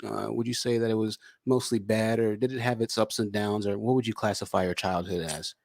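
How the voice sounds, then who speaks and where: A man hosting a casual podcast interview, from Michigan, relaxed and chatty with long asides. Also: lowish voice, fairly quick, gravelly. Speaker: podcast host.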